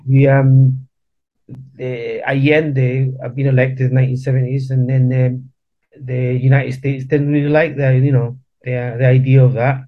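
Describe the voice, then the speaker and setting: A man in his fifties who was born in Chile talks through his family history in a relaxed interview. He often stops, repeats words and restarts. His voice sits at an ordinary middle pitch, and the recording sounds a little dull and lo-fi. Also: a little slow. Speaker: guest. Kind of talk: casual interview chat.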